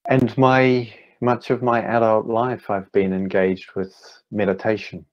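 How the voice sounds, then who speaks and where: A man in his fifties with a New Zealand accent, giving an online talk as a meditation teacher, speaking slowly and deliberately with gentle pauses. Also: on the low side, level tone, muffled recording. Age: 50s. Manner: slow, deliberate, gently pausing. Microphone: video call.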